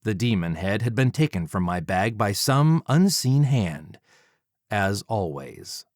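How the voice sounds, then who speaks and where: Measured male audiobook narrator, very dry sound. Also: lowish voice, lively intonation, no echo. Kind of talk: scripted first-person narration.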